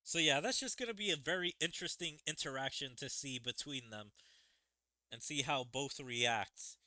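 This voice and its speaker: A man's voice: mid-range voice, normal speed, bright, forceful tone, no room sound. A man giving casual, rambling commentary on a game's story as a streamer. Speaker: gaming streamer. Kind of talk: casual commentary on a game story, reading dialogue aloud. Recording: game music behind the voice. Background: game music.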